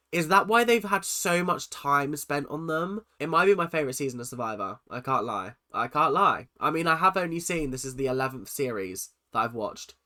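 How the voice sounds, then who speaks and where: A man with a stuffy, nasal voice from a cold, chatting excitedly as he reacts to a show. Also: mid-range voice. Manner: excitable, chatty, swings between loud exclamations and muttered asides. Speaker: reaction video host. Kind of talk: casual reaction commentary.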